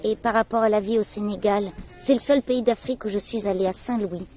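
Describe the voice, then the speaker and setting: A woman's voice: medium pitch, steady tone, a little fast, warm tone, soft background music. An older woman who volunteers and conducts a casual interview, talking in a chatty, direct and encouraging way. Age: older adult.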